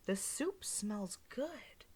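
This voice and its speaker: A woman reading aloud and voicing a fussy little old woman, with a high, hushed, slow and wondering voice. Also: big pitch swings, husky, heavily acted. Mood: marveling.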